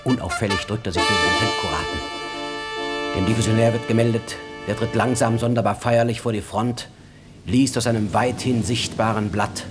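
Male narrator, literary radio play: deep, intense, terse delivery over prominent music. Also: steady tone, normal speed.

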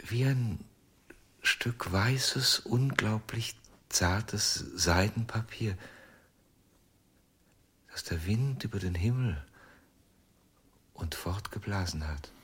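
Husky, deep-voiced, haunted ventriloquist. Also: male voice, fairly bright tone, full character voice. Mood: bitter, grieving. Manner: halting, haunted, breaking into near-whispers. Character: anguished, jealous male ventriloquist confessing to lost love. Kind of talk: German radio crime drama acting.

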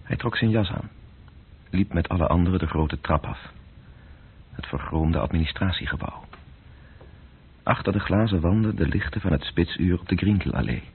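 A man playing a sober, detached storyteller in a radio play, narrating in terse, measured phrases with a husky voice. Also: deep voice, heavily acted. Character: sober male storyteller, detached observer of city evening. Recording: muffled phone-like old radio recording.

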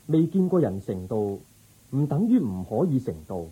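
Young spiritual prince, Cantonese, resolute, measured, very husky, lively pitch, radio drama. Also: male voice, low-quality recording. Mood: firm. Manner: earnest, measured, firm. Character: resolute young prince turned spiritual seeker, calm, compassionate.

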